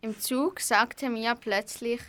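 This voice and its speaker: Bright-voiced female narrator. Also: fairly high pitch, steady tone, takes it slowly, slight background noise. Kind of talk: scripted amateur radio play narration.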